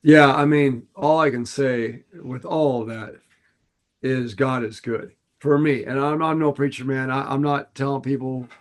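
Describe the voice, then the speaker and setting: Raspy older man, earnest storyteller. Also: mid-range voice, unhurried. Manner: earnest, animated storytelling with laughs. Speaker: podcast co-host. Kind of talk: casual podcast chat.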